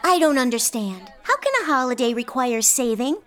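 A woman playing an excitable, earnest little girl in a holiday radio play. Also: a little high, normal speed, a loud crowd, heavy background noise, natural voice. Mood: puzzled.

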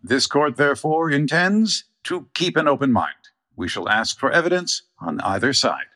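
An actor playing a cautious, pompous judge in a courtroom radio play, halting and formal. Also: male voice, medium pitch, big pitch swings, pushed and bright. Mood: official.

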